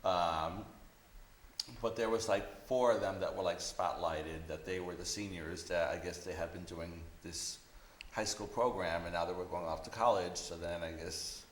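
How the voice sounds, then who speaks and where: A man's voice: deep voice, normal speed. A man being interviewed, casually recounting memories in a loose, hesitant storytelling manner. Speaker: interview guest, illustrator. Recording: roomy echo with background noise.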